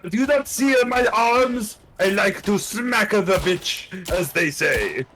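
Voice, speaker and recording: A man playing an excitable young Austrian soldier with a put-on accent that slips toward American, while gaming. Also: high voice, slow pace, a little hiss or hum, exaggerated voice. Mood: brash. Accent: put-on Austrian accent slipping to American. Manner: excitable, shouts battle cries. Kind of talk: in-character roleplay while gaming.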